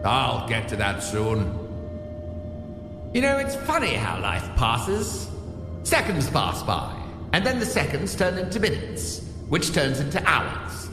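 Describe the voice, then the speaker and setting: A man performs a wry prisoner's monologue for an audio drama. He speaks slowly, confiding and teasing with animated intonation. His voice rings with long reverb. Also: on the high side, full character voice. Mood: wry, cryptic. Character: chained prisoner narrator, wry and cryptic. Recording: echoing, long reverb, music underneath.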